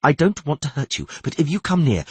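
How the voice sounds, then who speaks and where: A male narrator acts a commanding fantasy sorceress in a raised, husky voice. She is urgent and insistent, justifying herself with righteous defiance in a dry recording. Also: a little high, big pitch swings, a little fast, darkish tone, dry recording, pitched above the performer's own voice, heavily acted. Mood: tense warning.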